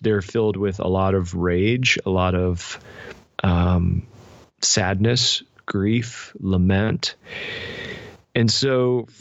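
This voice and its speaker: Low-voiced man, slightly muffled, dry recording. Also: dry recording. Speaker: podcast guest, author and pastor. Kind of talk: conversational interview, reflective explanation. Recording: dull, slightly muffled audio.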